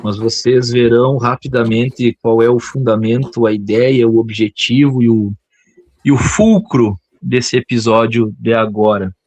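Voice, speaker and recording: A playful southern Brazilian man co-hosting a podcast, joking as he talks. He speaks at an unhurried, drawn-out pace. The sound is dry and close, with no room to it. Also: mid-range voice, steady tone, a little mellow, no room sound. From Brazil, Rio Grande do Sul. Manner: playful, joking, chuckles often. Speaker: podcast co-host. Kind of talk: casual podcast chat.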